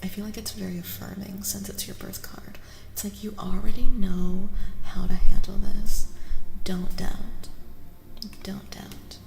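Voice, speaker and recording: A woman speaking in a soft, gentle ASMR style as she chats casually with livestream viewers, often dropping into whispers. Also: mid-range voice, fairly even intonation, unhurried, echoey room, big-room sound, rough recording. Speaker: livestreamer giving tarot readings.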